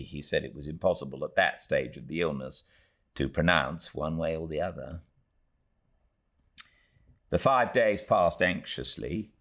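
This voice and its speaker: British male audiobook narrator, very deep register, animated pitch movement, measured and dramatic delivery of classic fiction. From Britain.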